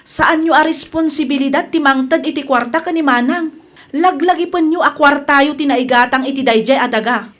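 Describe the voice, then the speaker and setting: Radio drama younger daughter, woman performer: slightly high voice, Ilocano accent, protective, earnest, gently firm. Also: moderate pace.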